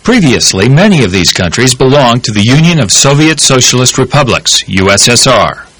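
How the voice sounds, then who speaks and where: A man narrating a language course in English, giving measured, clear instructions. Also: mid-range voice, very animated, a bit bright, no room sound.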